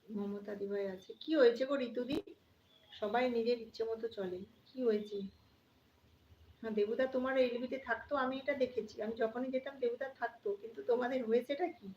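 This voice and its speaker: High-voiced woman in an echoey room. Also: steady tone, brisk. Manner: conversational, addressing viewers directly, often repeating phrases. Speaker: live stream host. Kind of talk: casual live chat, greeting viewers by name. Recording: dull lo-fi, roomy echo, faint noise.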